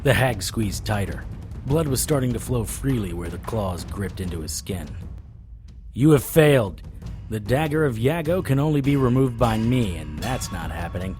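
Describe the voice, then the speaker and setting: Lowish tough guy monster hunter telling his story, male actor, hard-boiled, music under it. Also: expressive, normal speed, darkish tone, lightly acted. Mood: firm, defiant. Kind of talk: first-person dramatic narration.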